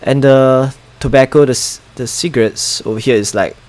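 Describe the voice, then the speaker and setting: A man's voice: mid-range voice, normal speed, a little mellow. Young Singaporean male game streamer, Singaporean accent; casual, chatty commentary with muttered asides. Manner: chatty, muttering asides, reading chat aloud.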